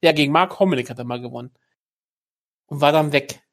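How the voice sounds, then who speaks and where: A man's voice: on the high side, fast talker. A man hosting a casual podcast chat, rambling and searching for words with frequent fillers. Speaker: podcast host. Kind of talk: casual chat about MMA news.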